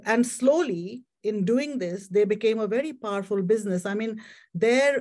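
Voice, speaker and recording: A woman's voice: mid-range voice, darkish tone. Chatty woman giving a history talk. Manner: conversational, many fillers and restarts. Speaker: club president-elect giving a talk.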